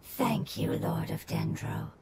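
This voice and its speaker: A woman's voice: sits low, slow, vocal fry, heavy background noise, exaggerated voice. A woman voicing a devoted, solemn elemental creature in an anime game, with formal, reverent phrasing. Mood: grateful, devoted. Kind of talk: anime video game voice acting. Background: loud game music.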